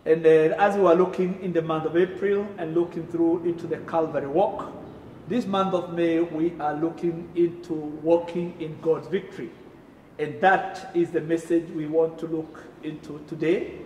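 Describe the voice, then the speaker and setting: Kenyan pastor preaching in an echoey church. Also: male voice, fairly high pitch, fairly even intonation, moderate pace, a little hiss or hum. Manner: emphatic preaching with gestures, rising loud on key phrases. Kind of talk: sermon. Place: church sanctuary, indoors. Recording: echoey room reverb.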